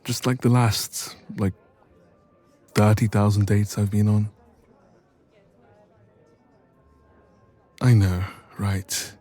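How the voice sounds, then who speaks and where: A man's voice: lowish voice, fairly even intonation, slow, mellow. A man playing a bashful, evasive best friend with a secret crush in an intimate romance audio roleplay. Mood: weary, rueful. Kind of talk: romance ASMR roleplay, intimate one-sided conversation.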